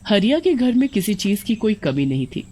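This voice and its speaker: Lady storyteller, mid pitched voice, reading out a scripted story. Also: sing-song, moderate pace, warm tone.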